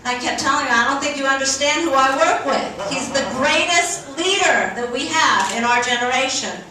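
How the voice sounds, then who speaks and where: A woman's voice: high voice, normal speed, crowd noise. A woman in the music business telling an earnest story to introduce a guest, quoting her family as she goes. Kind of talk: heartfelt introduction speech. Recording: echoey hall from far off.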